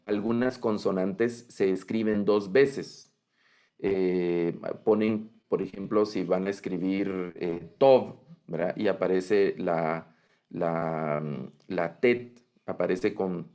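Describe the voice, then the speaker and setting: A man with a Latin American Spanish accent, teaching a language class in a low, gravelly voice. He explains step by step at a patient pace and keeps asking his listeners whether they follow. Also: a little mellow. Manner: patient, explanatory, frequent "¿verdad?" check-ins. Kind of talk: online class lecture.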